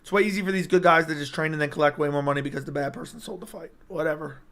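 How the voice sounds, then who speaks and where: A man's voice: a little high, racing, a bit bright. A young man hosting a casual livestream and reading viewer comments, his strained voice cutting in and out as he rambles with hype. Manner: hyped, rambling, talks straight to chat. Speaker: MMA YouTuber hosting a livestream. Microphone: personal streaming mic.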